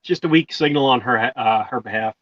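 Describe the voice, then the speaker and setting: A man's voice: mid-range voice, fairly quick, fairly bright tone, no echo. Easygoing man on a dull video call. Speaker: guest on a live video chat. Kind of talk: casual chat.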